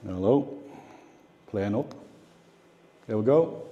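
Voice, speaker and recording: A man's voice: fairly low pitch, expressive, slow, highs cut off. An older man giving an informal slide talk of personal reminiscences, explaining things in a conversational way. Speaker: guest speaker at a radio club. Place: indoor club meeting room. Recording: echoey, reverberant meeting room.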